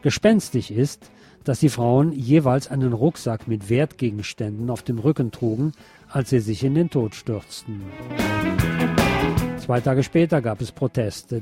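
Male news presenter, soft mellow tone, wry deliberate commentary read from script, faint guitar music underneath. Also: mid-range voice, slightly rough.

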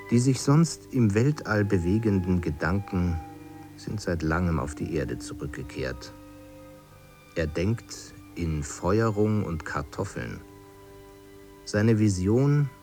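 A man reciting literary prose for a radio feature in a deliberate, declamatory manner. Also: sits low, darkish tone. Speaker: reader of quoted texts. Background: music.

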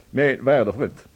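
An actor in a radio play playing a fussily polite company official who speaks in a formal, pompous and somewhat hesitant manner. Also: male voice, mid-range voice, husky, narrow, tinny audio.

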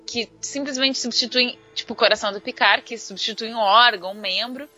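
Bright high-voiced Brazilian woman in casual discussion. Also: brisk. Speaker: podcast panelist. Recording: dull lo-fi online audio, music underneath.

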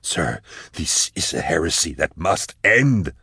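A worn-out, loyal subordinate in a science-fiction audiobook, played by a man. He speaks in a low, weary voice, earnest and respectful even as he objects, with a dry close sound. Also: takes it slowly, vocal fry, slightly rough, dry recording, full character voice. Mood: weary, firm. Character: exhausted, respectful subordinate pleading against heresy.

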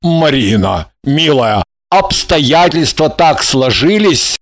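An actor playing a young, earnest and exasperated lover in an audiobook drama, speaking emphatically. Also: male voice, mid-range voice, lively intonation. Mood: hesitant, apologetic. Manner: emphatic, drawn-out, pleading then threatening. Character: young earnest, honourable, exasperated male lover, soon a father.

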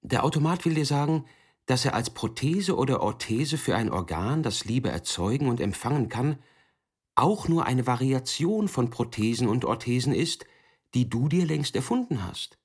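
A man playing an explainer in a literary radio play, emphatic and didactic, addressing the listener directly in a husky voice. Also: medium pitch, sing-song, moderate pace, darkish tone. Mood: emphatic, explanatory. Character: male explainer speaking for a loving automaton.

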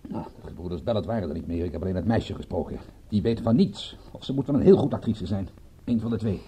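An actor playing an insistent, brusque private detective in a radio drama, speaking in a terse, pressing way. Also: male voice, deep voice, animated, quick pace, warm tone, slight background noise. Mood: matter-of-fact.

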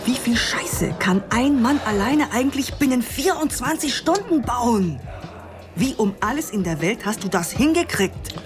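An actress in a German radio crime drama playing a sharp, exasperated woman who speaks in a blunt, scolding manner. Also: a little high, lively intonation, measured pace, over music. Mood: incredulous, scolding.

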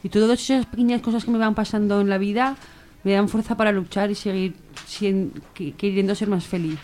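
A woman's voice: medium pitch, fairly even intonation, fairly quick, a little mellow, slight background noise. A woman sharing personal experience unscripted on a radio show, emphatic and repeating words for weight.

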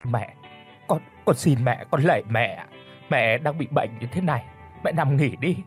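A man's voice: mid-range voice, lively intonation, measured pace, faint music behind, natural voice. A man doing a timid, pleading young wife. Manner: pleading, stammering.

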